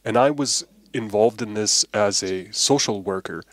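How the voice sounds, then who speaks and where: An older man interpreting speech on a panel discussion, measured and pausing. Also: on the low side, no echo.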